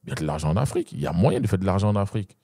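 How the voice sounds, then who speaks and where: A young man with an Ivorian French accent speaks fast in a low, dark voice, animated and full of restarts. The sound is dry and close. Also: dry recording. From Ivory Coast. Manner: animated, repeats and restarts, addresses listener with "tu vois". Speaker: guest, Muay Thai champion. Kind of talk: casual interview talk.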